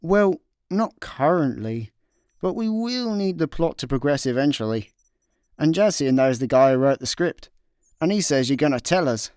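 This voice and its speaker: An actor playing a dim, laid-back intergalactic slacker on the run in a comedic sci-fi radio play, deadpan, with a Scottish accent. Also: male voice, fairly high pitch, moderate pace, clean tone, no echo, studio quality, lightly acted. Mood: deadpan banter.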